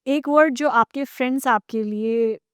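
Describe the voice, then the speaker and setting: A woman with an Indian accent hosts a casual interview. Her voice is smooth and clean, pitched a little high, and the recording sounds dry and close. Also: normal speed, a bit bright, no room sound. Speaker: podcast host.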